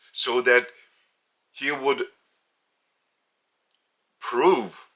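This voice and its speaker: A man with a Lithuanian accent, a native Lithuanian speaker, giving a spiritual teaching from home in a slow, deliberate, earnest way with many pauses. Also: medium pitch, sharp tone. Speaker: religious teacher. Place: indoors at home.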